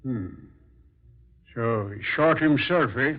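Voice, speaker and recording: Brash cop on tinny old radio. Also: male voice, mid-range voice, expressive, slow pace. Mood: mocking skepticism. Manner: slangy, blustery. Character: brash, skeptical policeman, easily flustered. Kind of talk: 1940s radio drama acting.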